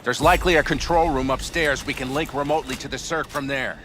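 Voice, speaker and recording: A man's voice: medium pitch, fairly even intonation, fairly quick, a bit bright, a little hiss or hum, natural voice. A man playing a focused, practical nomad technician in a video game, talking reassuringly. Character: focused, can-do nomad tech man in battle. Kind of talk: dramatic video game acting.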